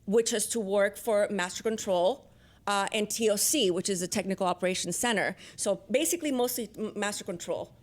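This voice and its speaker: A woman's voice: mid-range voice, fairly quick. A woman born in Costa Rica and raised in Los Angeles, chatting casually as a talk show guest and telling stories about herself. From born in Costa Rica, grew up in Los Angeles. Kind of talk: casual chat, telling career anecdotes.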